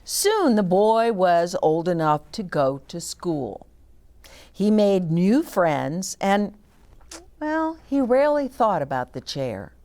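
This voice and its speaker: A woman's voice: on the low side, big pitch swings, takes it slowly, dark tone. A woman reading a children's storybook aloud as a story time host, with short explanatory asides to young listeners.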